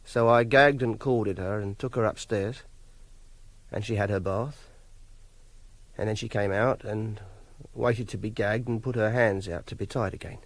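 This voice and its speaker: A man's voice: lowish voice, fairly even intonation, a little slow, no room sound. An actor playing a socially awkward, obsessive young Englishman in a radio drama, hesitant and defensive. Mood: confessional, self-justifying. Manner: awkward, defensive, fussily self-justifying. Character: socially awkward, obsessive young man holding a woman captive. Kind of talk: radio drama acting and first-person narration.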